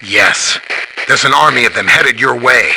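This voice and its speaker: An actor playing a helpful, earnest scientist superhero in a video game, explaining technical matters in a friendly way. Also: male voice, fairly low pitch, animated, normal speed, background noise. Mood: alert, businesslike. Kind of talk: scripted game dialogue, explaining and briefing.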